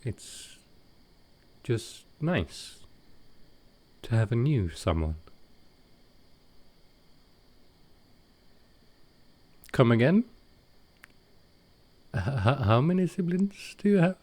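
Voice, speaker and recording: A man playing a gentle, wistful ancient vampire in an intimate audio roleplay, soft-spoken and hesitant with halting pauses. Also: mid-range voice, big pitch swings. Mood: warm, hesitant.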